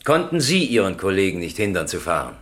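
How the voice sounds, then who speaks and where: An actor playing a stern, formal presiding judge who questions with authority in a German radio crime drama. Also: male voice, deep voice, very animated, fairly quick, a bit bright. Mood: formal, matter-of-fact. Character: stern, probing male presiding judge in a courtroom.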